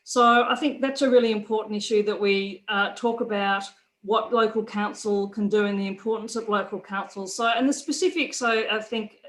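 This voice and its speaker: A woman's voice: medium pitch, fairly even intonation, normal speed, low-quality recording. A woman, a council candidate speaking earnestly with many fillers. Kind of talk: unscripted town hall answer.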